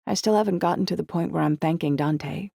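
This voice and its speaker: Earnest young woman voiced by a narrator, confiding, troubled, conversational, higher pitch, audiobook dialogue. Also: brisk, vocal fry, warm tone, no room sound, studio quality. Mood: wry, confiding.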